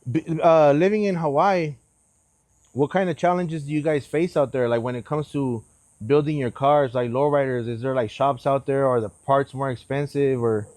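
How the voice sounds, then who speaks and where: A man acts as interviewer in a casual, friendly chat. His voice has an average male pitch and is recorded dry, with little room sound. His wording is loose and slangy. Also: fairly even intonation, normal speed, a little mellow, no room sound. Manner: informal, slangy. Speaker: host, interviewer.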